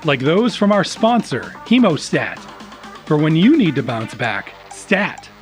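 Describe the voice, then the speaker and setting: An actor playing a cheerful male news anchor, with smooth, formal scripted presenter delivery. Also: on the high side, very animated, a little slow, soft background music. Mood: polished, upbeat. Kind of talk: scripted news broadcast parody.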